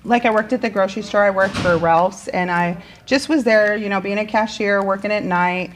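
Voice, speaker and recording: A woman's voice: mid-range voice, fairly even intonation, brisk. Middle-aged woman chatting over background noise. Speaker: teacher being interviewed. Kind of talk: casual personal storytelling in an interview. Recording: clearly noisy background.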